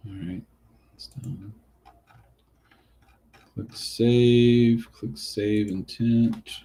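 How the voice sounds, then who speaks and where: A man's voice: mid-range voice, steady tone, drawn-out words, dark tone. A man with a Southern US accent hosting a study group, walking through a coding lab while thinking aloud. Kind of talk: live coding walkthrough.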